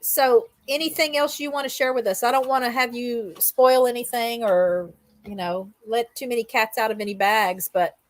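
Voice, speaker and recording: A woman's voice: on the high side, fairly even intonation, normal speed, no echo. A woman hosting a casual interview, chatty and enthusiastic as she puts questions to her guest. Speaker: interviewer, host.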